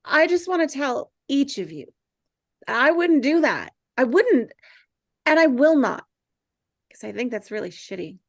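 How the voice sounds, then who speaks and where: Casting: female reader as insecure misfit schoolboy, higher voice, halting delivery, table-read drama. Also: big pitch swings, no room sound, clean studio sound, exaggerated voice. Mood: hurt, earnest. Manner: halting, stammering, sighing. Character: earnest, insecure, awkward teenage boy, a brainy misfit. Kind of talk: table read of a film script.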